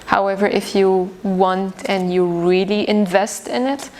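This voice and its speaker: A young woman from Tunisia who speaks English as a second language after Arabic, talking as a guest teacher in a casual interview, thoughtful and pausing often to restart her sentences. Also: medium pitch, fairly even intonation, darkish tone, a little hiss or hum. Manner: thoughtful, with frequent hesitations and restarts.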